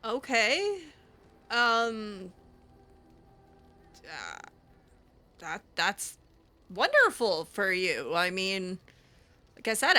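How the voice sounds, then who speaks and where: A woman improvising in-character dialogue as she plays a roleplaying game, halting and repeating words. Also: fairly low pitch, bright, forceful tone.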